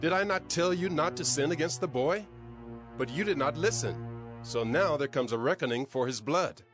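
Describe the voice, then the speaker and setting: An actor playing a grave, earnest eldest brother, a humble foreigner in a dramatized scripture recording. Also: male voice, a little high, expressive, normal speed, lightly acted. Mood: reproachful. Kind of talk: dramatized scripture audio acting. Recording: music behind the voice.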